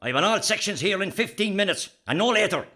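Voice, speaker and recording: A man's voice: high voice, lively intonation, quick pace, pushed and bright, exaggerated voice. An actor playing a resolute guerrilla commander who firmly rallies his men in a radio play. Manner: firm, rallying.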